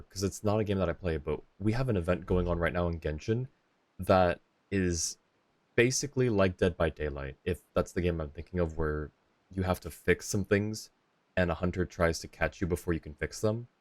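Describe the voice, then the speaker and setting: A man's voice: low-pitched, moderate pace, no echo. A slightly tired man chatting casually and politely with viewers as a live game streamer. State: a little tired. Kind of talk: casual chat with viewers while gaming. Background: faint game music.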